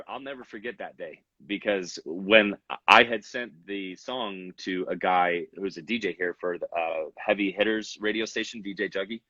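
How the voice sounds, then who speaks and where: Young guy, rapper, kinda low voice, lots of ums and restarts, dry muffled sound. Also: sharp tone, slightly rough, no room sound. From Salt Lake City. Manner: rambling, many fillers and restarts. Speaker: rapper, interview guest. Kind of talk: casual interview chat.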